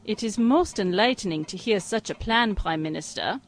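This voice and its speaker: A woman's voice: medium pitch, lively intonation, moderate pace, close to an everyday voice. An actress playing a female German spy posing as a demure secretary in a comic audio drama, with a German accent and clipped speech. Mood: deferential, sly.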